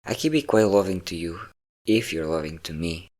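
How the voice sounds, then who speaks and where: A man's voice: low voice, normal speed, darkish tone, close to an everyday voice. A man playing a centuries-old, possessive and doting vampire captor in an intimate audio roleplay, coaxing and teasing his listener. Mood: coaxing, controlling.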